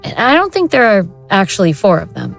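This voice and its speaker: A woman's voice: medium pitch, huge pitch swings, a little fast, creaky, faint music behind, heavily acted. A woman playing a matter-of-fact occult investigator in an audio drama.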